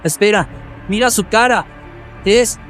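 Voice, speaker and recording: Horror radio play: man voicing anxious night-time passer-by, high filtered pitch, dramatic delivery, over clear music. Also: measured pace, fairly bright tone. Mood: frightened. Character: startled night-time passer-by, concerned then frightened. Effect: pitch-shifting voice filter.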